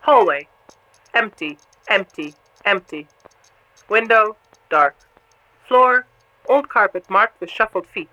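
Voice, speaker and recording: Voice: mid-range voice, expressive, slow pace, long pauses, pushed and bright. A performer voicing a watchful surveillance camera in an audio drama, narrating in clipped, terse fragments. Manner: clipped, terse, observational phrases. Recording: lo-fi, very noisy intercom-like sound.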